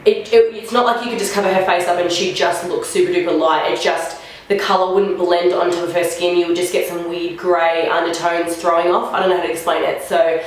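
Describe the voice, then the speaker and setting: A woman's voice: lowish voice, fairly even intonation, a little fast. A young woman vlogger chatting casually through a makeup try-on, sounding a bit nasal from a flu and filling her talk with "like". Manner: chatty, rambling, lots of "like" fillers. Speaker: beauty vlogger. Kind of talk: casual makeup first-impressions chat. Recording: very echoey room.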